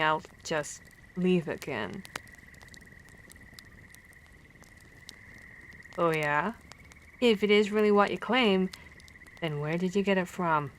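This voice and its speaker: A woman's voice: medium pitch, lightly acted, low-quality recording. Woman acting an exasperated, caring vampire hunter. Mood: teasing, skeptical. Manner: expressive, stammering, exasperated. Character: female vampire hunter, scolding but caring comrade. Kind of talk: ASMR roleplay acting.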